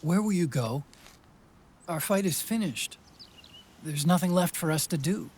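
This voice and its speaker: A man's voice: fairly high pitch, expressive, a little slow, darkish tone, husky. An actor playing an earnest, emotional and devoted male sidekick in a game cutscene, speaking in a pleading way. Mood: pleading, worried. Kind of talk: dramatic video game cutscene acting.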